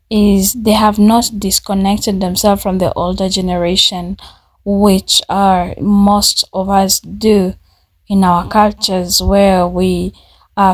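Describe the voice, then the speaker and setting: A woman's voice: mid-range voice, low-quality recording. A woman from Africa giving a reflective video commentary, earnest and searching, with some restarts.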